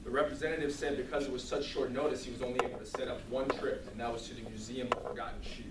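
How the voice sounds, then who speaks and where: A man giving a humorous storytelling speech to an audience in a theatrical, deliberate comic manner. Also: mid-range voice, little pitch movement. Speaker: speech contest contestant. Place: room with an audience. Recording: roomy, distant mic, clearly noisy.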